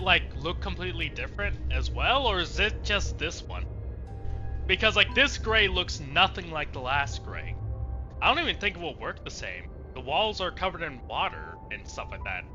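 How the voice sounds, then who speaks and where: A man's voice: high voice, very animated, normal speed, pushed and bright, faint music behind. A man streaming a game with casual let's play commentary, through a dull, lo-fi microphone.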